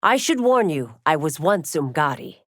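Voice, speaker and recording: A woman's voice: sits low, sing-song, moderate pace, fairly bright tone, no echo, studio quality, exaggerated voice. An actress playing a stern, dutiful warrior woman and law officer in a fighting game, speaking in formal, commanding, clipped declarations. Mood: formal, firm. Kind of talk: fighting game character voice lines.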